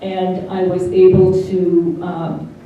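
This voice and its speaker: A middle-aged woman giving a personal testimony, speaking slowly and haltingly with carefully over-articulated consonants. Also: fairly low pitch, level tone, narrow, tinny audio. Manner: slow and halting, many pauses. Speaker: interviewee. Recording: roomy, distant mic, faint hiss.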